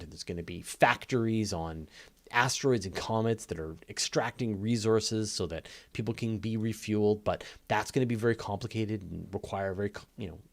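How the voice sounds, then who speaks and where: A man hosting a live question-and-answer show, explaining conversationally and emphatically in an off-the-cuff way, with repetition and everyday comparisons. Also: on the low side. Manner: conversational, emphatic, builds points through repetition and everyday analogies. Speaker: host of a live astronomy Q&A.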